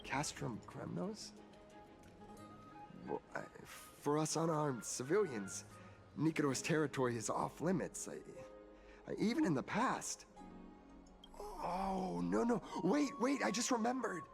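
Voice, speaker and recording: A man's voice: fairly high pitch, big pitch swings, measured pace, creaky, long pauses, heavily acted. A man voicing a flustered, talkative artist in a video game, polite and fussy with frequent stammers. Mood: nervous, eager. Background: game music.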